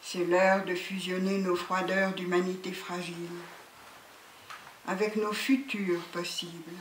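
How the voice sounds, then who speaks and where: A poet reciting solemnly and deliberately at a literary event, pausing between phrases. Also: mid-range voice, little pitch movement. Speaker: poet reading at a book event. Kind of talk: slow, solemn poetry recitation. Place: indoor literary event room. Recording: echoey room with background noise.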